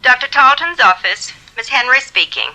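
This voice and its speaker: A composed doctor's secretary in an old radio play. She speaks with formal, measured reserve and keeps her politeness. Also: high voice, lively intonation, sharp tone, heavily acted, poor audio. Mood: grave, polite. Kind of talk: 1950s radio drama acting.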